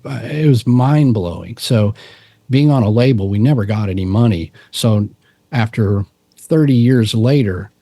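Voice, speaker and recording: A middle-aged man with a low, dark-toned voice talks through his stories at an easy pace. He loops back and restarts his sentences, relaxed and unhurried, in a dry, close recording. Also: no room sound. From Texas. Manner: relaxed, rambling, frequent restarts and fillers. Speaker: podcast guest, musician and cryptid researcher. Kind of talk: casual interview storytelling.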